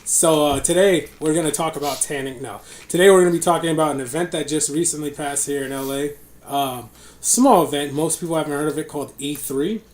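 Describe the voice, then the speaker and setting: A man's voice: fairly high pitch, a little fast, a little mellow. A young man hosting a casual podcast, rambling with fillers and laughing often. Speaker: podcast host. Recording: echoey room, faint noise.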